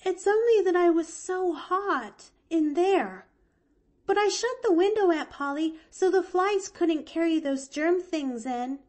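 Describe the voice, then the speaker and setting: A woman's voice: very high-pitched, expressive, a little slow, a little mellow, voice pushed up. A woman reading aloud, voicing an eager, chattering little girl who gushes breathlessly. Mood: eager, reassuring. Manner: breathless, gushing, rapturous.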